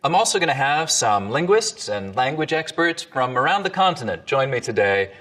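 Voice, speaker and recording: A man who works as a dialect coach gives a clear, measured, scripted explanation in an educational video. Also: medium pitch, very animated, a bit bright, slight background noise. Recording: distant tinny video audio with faint music.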